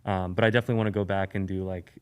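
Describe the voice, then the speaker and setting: A man from Eastern Europe chats as a podcast guest. His voice sits a little low, and he rambles easily, circling back with fillers and restarts. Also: level tone, very fast, a little mellow, clear, resonant tone. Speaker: guest, sneaker YouTuber. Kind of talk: casual podcast conversation.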